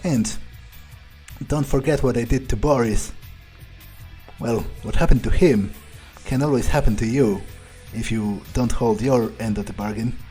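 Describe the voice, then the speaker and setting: Slow-talking man musing in character. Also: medium pitch, mellow, faint music behind, rough recording. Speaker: let's player role-playing a vampire character. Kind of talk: in-character gameplay commentary, thinking aloud.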